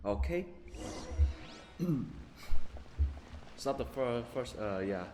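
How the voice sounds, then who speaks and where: A gamer making casual, halting, mumbled asides while playing. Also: medium pitch, expressive, a little mellow, slight background noise. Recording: game music over the voice.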